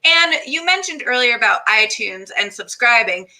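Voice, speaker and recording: A woman's voice: a little high, expressive, moderate pace, bright, forceful tone, rough recording. A woman hosting a show in an upbeat, casual way, with a playful, exuberant manner. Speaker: show host.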